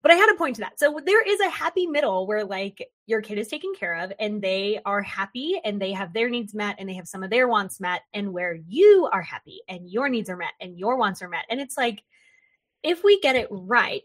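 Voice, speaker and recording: A woman hosting an interview, chatting in an animated, jokey way and acting out little quoted voices as she talks. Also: mid-range voice. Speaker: podcast host. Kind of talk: casual interview chat.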